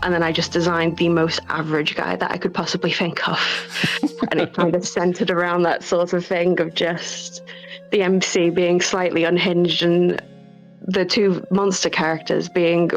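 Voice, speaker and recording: A woman's voice: on the low side, flat delivery, normal speed, soft background music, rough recording. A woman game developer chatting casually in an interview over an online voice call.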